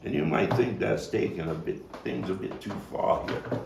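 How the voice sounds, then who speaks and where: An actor playing a nostalgic, soul-searching Brooklyn man in a dramatic stage monologue, halting and repeating words as he moves between hushed and emphatic delivery. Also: fairly low pitch, creaky. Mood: earnest, mournful. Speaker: playwright and actor. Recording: distant mic, roomy echo, faint noise.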